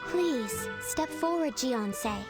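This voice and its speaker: Loud music surrounds a high-pitched young princess. She is gentle, noble and earnest, with the formal delivery of a fantasy game cutscene. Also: expressive, very slow, exaggerated voice. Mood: warm, formal. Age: young adult.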